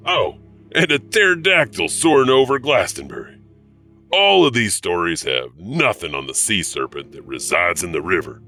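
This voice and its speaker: An actor playing an eerie, teasing horror anthology host, narrating in a sly, theatrical, ominous way. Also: male voice, low voice, sing-song, moderate pace, pushed and bright, faint music behind, full character voice. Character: eerie, teasing male horror-tale host who chuckles. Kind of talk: theatrical spooky scripted narration.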